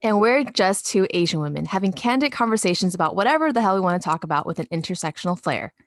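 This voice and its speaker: A woman's voice: medium pitch, animated, fast, no echo, clean studio sound. A young woman with an American accent, chatting casually and informally as a podcast co-host, giggly in manner. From California, United States. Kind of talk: casual chat.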